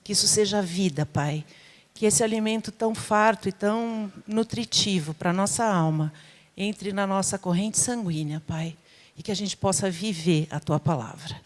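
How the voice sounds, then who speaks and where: A Brazilian woman leads a spoken prayer in a mid-pitched voice. She is devout and addresses God directly and often. The sound carries the long reverb of a hall. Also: moderate pace. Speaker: congregant leading prayer. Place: large church room.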